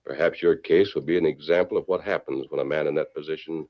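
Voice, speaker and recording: Stern masked lawman of a radio western: very deep, grave, declamatory, on a muffled vintage 1940s recording. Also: fairly even intonation. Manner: solemn, declamatory, measured. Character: stern, righteous masked cowboy hero, grave and moralizing.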